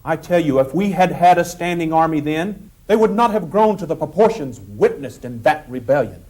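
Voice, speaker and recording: An actor playing a forceful eighteenth-century American convention delegate, arguing formally and insistently in a period drama. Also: male voice, fairly high pitch, expressive, moderate pace, poor audio. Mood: indignant, firm. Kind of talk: period stage-like debate acting.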